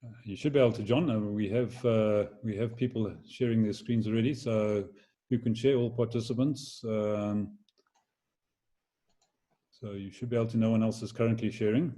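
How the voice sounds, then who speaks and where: A man answers a speaker's technical question in short, helpful replies over a video call. His voice is low and mellow. Faint clicking sounds are heard in the background. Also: fairly even intonation, moderate pace. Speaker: event tech organizer.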